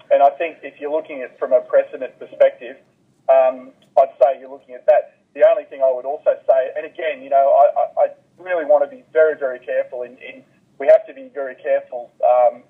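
A man giving measured basketball analysis as an interview guest, carefully qualifying his points over the phone. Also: mid-range voice, slightly rough. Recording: muffled telephone line.

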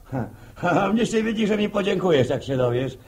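1960s radio play: tipsy village gossip, young, cocky and mocking, raspy, animated delivery. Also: male voice, sits high, brisk. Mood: mocking glee. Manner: mocking, chuckling, drawling rustic talk. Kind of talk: 1960s radio drama acting. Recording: old 1960 radio broadcast recording.

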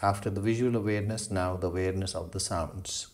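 A man leading a guided meditation as a yoga instructor, giving slow, measured instructions with long pauses. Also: low voice, fairly even intonation, a little mellow.

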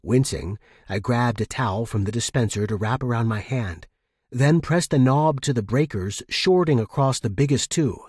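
A man's voice: medium pitch, moderate pace, a little mellow, husky, dry recording, clean studio sound. A man reading scripted first-person fiction as a story narrator.

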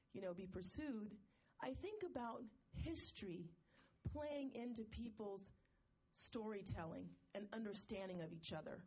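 A woman in the audience of a panel discussion, making a long, earnest comment in a measured way. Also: medium pitch, darkish tone. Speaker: audience member asking a question. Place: conference hall audience.

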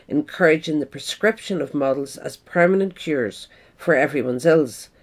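Woman reading an essay in measured tones. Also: sits low, darkish tone. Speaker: audiobook reader. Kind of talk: scripted narration of an essay.

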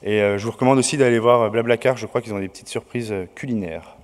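A man's voice: on the low side, quick pace, a little hiss or hum. A man organizing a conference, giving opening announcements from a podium with many hesitations. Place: large lecture hall. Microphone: podium mic. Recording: reverberant hall.

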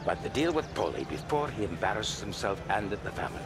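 Stern low-voiced older mob adviser. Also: male voice, sing-song, heavy background noise. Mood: stern, controlled. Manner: authoritative, formal, deliberate. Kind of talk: cinematic crime game acting. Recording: music behind the voice.

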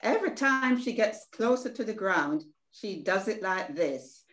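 Inquisitive, indignant little sister, Caribbean older woman reader; lively intonation, stage-play reading. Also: mid-range voice, measured pace. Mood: nosy, indignant. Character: inquisitive, indignant, tender-hearted younger sister in Barbados. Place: indoor room at home, on video call. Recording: roomy video call sound.

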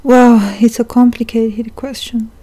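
A woman's voice: fairly high pitch, level tone, a little slow, dark tone. A woman co-hosting a podcast in casual, flirty ASMR chat, playful and teasing, close to the microphone. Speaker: podcast co-host.

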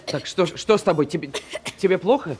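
An actor playing a kindly, earnest young amateur entertainer in costume in an audio drama. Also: male voice, sits high, brisk, a little hiss or hum, natural voice. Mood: concerned, candid.

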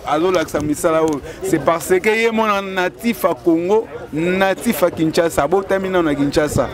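Enthusiastic man in his forties at an outdoor market, fairly high voice, busy crowd noise behind him. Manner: emphatic, enthusiastic. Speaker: resident interviewee. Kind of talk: street interview. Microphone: handheld mic held close.

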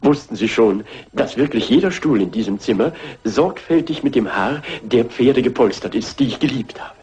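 An actor playing a gallant, earnest horse-loving gentleman suitor in a German radio crime drama, husky, courtly and sentimental. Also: medium pitch, lively intonation, normal speed, dull audio. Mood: earnest, courting.

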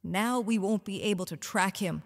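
A woman's voice: mid-range voice, normal speed, natural voice. A woman acting a bitter, betrayed wife turned tyrant's informant in an audio drama, resentful and earnest. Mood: dutiful, hurt. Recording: long reverberant hall.

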